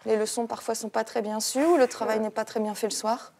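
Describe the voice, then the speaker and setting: A woman teaching a primary class, calmly questioning a pupil and giving instructions. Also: a little high, brisk, gravelly. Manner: calm, questioning, patient with a pupil.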